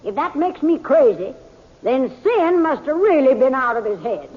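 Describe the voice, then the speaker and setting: A woman's voice: high voice, sing-song, moderate pace, breathy edge, exaggerated voice. An actress playing a defiant, stubborn frontier woman who talks bluntly and rustically, in old-time radio western style. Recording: dull, reverberant old radio recording.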